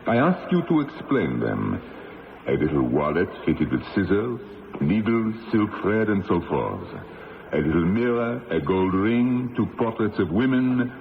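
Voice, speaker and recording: Tribunal judge: low-pitched, accusing, formal and declamatory, widely varied intonation, old radio drama sound. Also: male voice, sing-song, a little slow, vocal fry, phone-quality sound, full character voice. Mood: officious. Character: stern, accusing male revolutionary tribunal judge. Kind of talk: 1970s radio drama acting. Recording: thin old radio recording, reverberant, faint noise.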